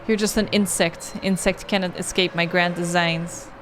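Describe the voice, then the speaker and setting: A woman's voice: on the low side, steady tone, normal speed, background noise, lightly acted. Woman voicing a venomous, menacing monster woman. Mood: contemptuous menace. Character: malevolent monstrous female creature, menacing and contemptuous.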